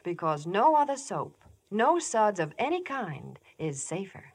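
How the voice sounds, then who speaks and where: A woman's voice: mid-range voice, big pitch swings, unhurried, dry recording. A woman delivering a scripted 1950s radio commercial with gushing, emphatic phrasing. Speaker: commercial spokeswoman.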